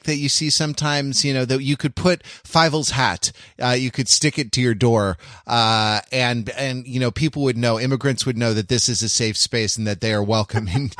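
A man hosting a casual podcast chat, speaking in a halting, wry and joking way. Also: medium pitch, a bit bright, dry recording, clean studio sound. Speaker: podcast host.

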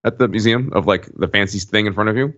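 A man's voice: lowish voice, fast talker, fairly bright tone, lo-fi sound. A man co-hosting a podcast, asking questions in casual chat. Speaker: podcast co-host.

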